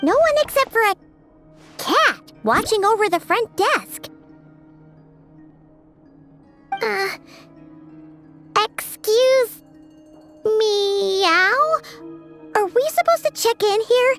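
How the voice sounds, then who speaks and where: A woman voicing a tiny, excitable floating companion who chatters about herself in the third person. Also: very high-pitched, slow, long pauses, faint music behind, exaggerated voice. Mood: flustered. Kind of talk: game cutscene voice acting.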